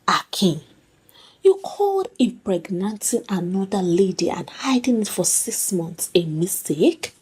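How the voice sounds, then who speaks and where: A woman's voice: mid-range voice, big pitch swings, measured pace, darkish tone, breathy edge, heavily acted. A woman voicing a betrayed wife in a folktale, wounded, incredulous and defiant. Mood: accusing. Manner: incredulous, challenging.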